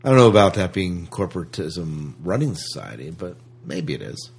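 Deep, husky-voiced man chatting. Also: very animated, normal speed. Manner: questioning, thinks aloud. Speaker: podcast co-host. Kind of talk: casual chat discussion.

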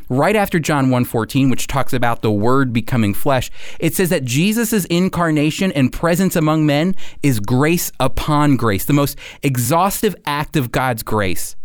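A male preacher delivers a prepared teaching sermon with deliberate, emphatic phrasing. His voice sits at an ordinary high-to-middle male pitch, recorded dry and close with no room sound. He often turns to the listener with rhetorical questions. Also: no echo.

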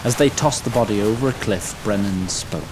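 A man's voice: a little fast, lightly acted. A man narrating a fantasy audio drama in scripted storytelling style. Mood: descriptive. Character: male narrator of a fantasy tale. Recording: noisy, rumbling effects behind.

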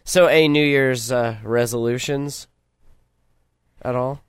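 A gruff-voiced man hosts a laid-back podcast in a mid-range voice. He rambles loosely and laughs often. The sound is dry and close, with no room around it. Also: medium pitch, steady tone, slow, no room sound. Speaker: podcast host. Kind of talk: casual podcast chat.

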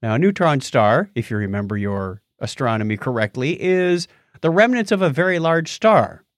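A man's voice: medium pitch, big pitch swings, moderate pace, no echo, studio quality. A man co-hosting a podcast, explaining news items in a casual, jokey, conversational way. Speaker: podcast co-host.

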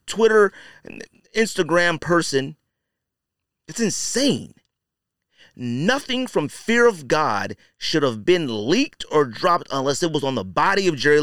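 A man's voice: a little high, fairly bright tone, clear, resonant tone, no echo, studio quality. A man hosting a podcast, giving casual opinion commentary in colloquial, slangy language and repeating phrases for emphasis. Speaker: podcast host.

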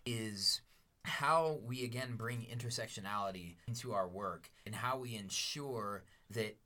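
Bright-voiced male teacher podcast host. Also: on the low side, unhurried. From Denver, USA. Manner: earnest, reflective, laughs often. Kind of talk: casual podcast chat.

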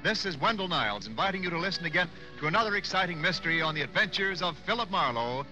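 A man's voice: sits high, animated, fast, sharp tone, poor audio. An American man reading scripted credits as a 1940s radio announcer. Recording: vintage radio broadcast with music. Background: music.

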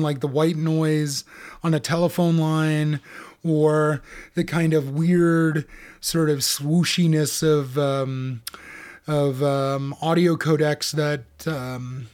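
A man's voice: on the high side, a little mellow. Thoughtful man explaining ideas. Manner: thoughtful, explanatory, with many fillers. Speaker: author being interviewed. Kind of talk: academic conversation.

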